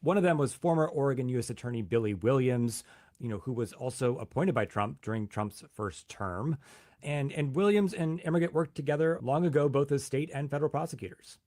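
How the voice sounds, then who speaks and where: A man's voice: medium pitch, expressive, a little fast, darkish tone, dry recording, studio quality. A male reporter explaining a story in a news interview, often repeating words as he starts a sentence.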